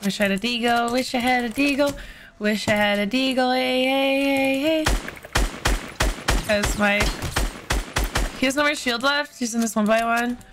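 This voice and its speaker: Playful, high-pitched young woman streaming. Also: moderate pace, long pauses, heavy background noise. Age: 20s. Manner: playful, teasing. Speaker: game streamer. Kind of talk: casual gameplay chat with teammates. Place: indoor home streaming room.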